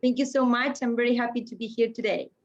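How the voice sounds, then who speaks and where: A woman from Argentina speaks English. Her voice sits a bit high, and the call audio is dull and lo-fi. She introduces herself plainly and warmly. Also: steady tone, fast talker. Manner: friendly, plain self-introduction. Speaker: workshop co-lead. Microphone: video call.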